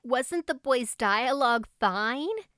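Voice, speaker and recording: A chatty, sensible young girl acted by a woman narrator in a storybook. She speaks in a high, clear voice with brisk, matter-of-fact emphasis, like a loyal best friend. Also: expressive, fairly bright tone, no room sound, studio quality. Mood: teasing. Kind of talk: audiobook character voice.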